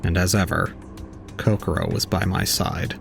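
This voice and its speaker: Male actor as brooding young antisocial man, deep voice, formal cold diction, detached audio drama narration, faint music behind. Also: fairly even intonation, moderate pace, vocal fry.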